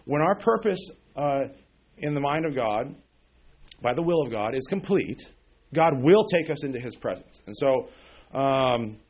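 Man, forties, preacher, halting, filler-heavy, mid pitch. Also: expressive, a little mellow, highs cut off. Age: 40s. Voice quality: halting, many fillers and restarts, checks in with "okay?". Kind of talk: bible teaching sermon.

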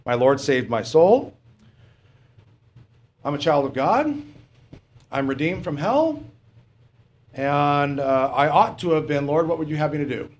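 A middle-aged man preaches in a plain, unpolished way, with a slightly high voice. He stops often on fillers and throws rhetorical questions at his listeners. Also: animated, measured pace, long pauses. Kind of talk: sermon.

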